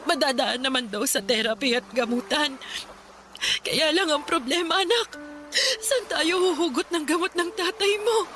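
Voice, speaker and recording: A woman's voice: high-pitched, moderate pace, pushed and bright, faint music behind. An actress playing a worried mother of six, the wife of a poor fisherman, in a radio drama. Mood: distraught. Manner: anxious, tearful.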